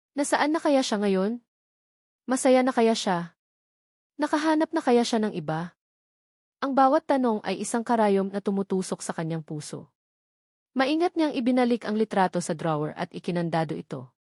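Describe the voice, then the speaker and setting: A Filipino woman narrates a dramatic scripted story. Her voice sits at a middle pitch for a woman. The sound is close and dry, with very little room in it. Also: a little mellow, no echo.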